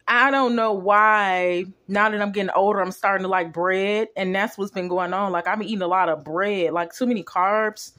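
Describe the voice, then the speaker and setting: A woman's voice: medium pitch, fairly even intonation, moderate pace, hardly any pauses, fairly bright tone. A woman talking casually and colloquially in a home food vlog, filming her dinner on a phone at a kitchen counter. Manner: chatty, down-to-earth, colloquial. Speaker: vlogger.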